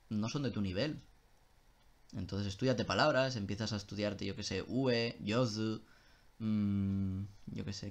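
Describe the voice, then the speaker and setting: Casual man, low voice. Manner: loose and casual, with swearing and self-interruptions. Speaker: streamer answering viewer questions. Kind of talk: casual live-stream chat.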